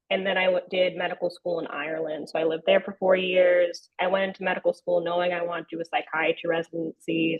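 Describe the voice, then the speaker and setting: Thin phone-like Canadian woman's voice. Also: on the low side. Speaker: panelist, psychiatry resident. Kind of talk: casual self-introduction. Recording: thin, phone-like call audio.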